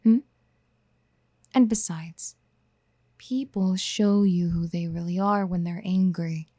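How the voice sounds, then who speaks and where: A woman playing a possessive, motherly yandere in a soft-spoken ASMR roleplay, gentle and coaxing, often slipping toward a breathy whisper. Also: fairly low pitch, slow, no echo, clean studio sound. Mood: soothing, persuasive. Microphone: close studio mic.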